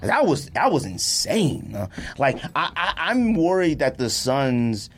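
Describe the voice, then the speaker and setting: An American man hosting a podcast, talking sports casually in an animated, emphatic, exasperated rant with a husky voice. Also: medium pitch, unhurried. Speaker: sports and sneaker podcast host.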